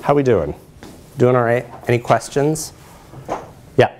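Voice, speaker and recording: A man's voice: mid-range voice, lively intonation, unhurried, a little mellow, slight background noise. An American man in his thirties giving an explanatory research presentation in a lecture room, checking in with his listeners. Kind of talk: conference presentation with audience questions.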